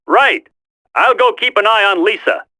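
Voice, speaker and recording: An actor playing a cocky, wisecracking, flippant commando in a dramatic video game. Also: male voice, high-pitched, moderate pace, sharp tone. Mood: brisk.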